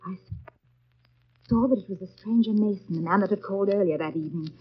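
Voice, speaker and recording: Modest young governess, soft-mannered n earnest, husky voice, muffled old 1930s radio drama. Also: female voice, mid-range voice, quick pace. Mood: hushed, narrating. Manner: demure, restrained, earnest. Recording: dull 1930s radio recording, faint music behind.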